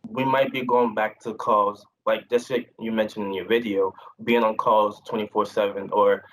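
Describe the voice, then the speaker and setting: A young man from Haiti speaking as a panelist on a video call, earnest and measured. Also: lowish voice, level tone, a bit bright, poor audio. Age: young adult. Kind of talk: virtual panel discussion.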